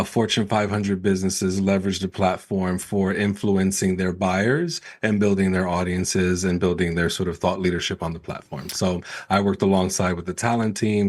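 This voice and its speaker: A man from New York City, born in Puerto Rico, speaking casually as a guest in an interview. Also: deep voice. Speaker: podcast guest, sales coach.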